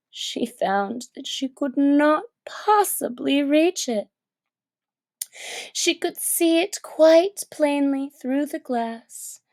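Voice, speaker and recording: A woman narrating a children's story from a script, with expressive, deliberate and dramatic phrasing. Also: sits high, creaky, no room sound.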